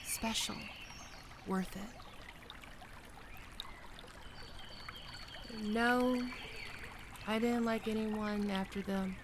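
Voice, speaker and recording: A performer playing a gentle young best friend with a secret crush, speaking softly and hesitantly in an ASMR roleplay, comforting and tender. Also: mid-range voice, steady tone, slow, background noise. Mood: tender, reassuring. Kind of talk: soft-spoken ASMR roleplay.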